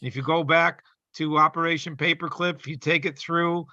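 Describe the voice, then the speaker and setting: A middle-aged man talking casually and earnestly as an open mic poet, rambling and halting as he explains himself. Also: fairly high pitch, steady tone, bright, forceful tone, dry recording. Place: online video call. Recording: dull lo-fi call audio.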